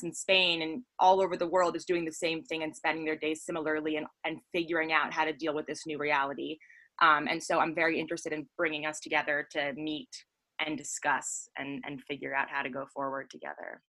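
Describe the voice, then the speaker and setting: Young woman, really low voice but bright and sharp, earnest, thinking out loud. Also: low-quality recording. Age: young adult. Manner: earnest, thoughtful, some filler "like". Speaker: guest. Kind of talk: casual chat. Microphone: remote call recording.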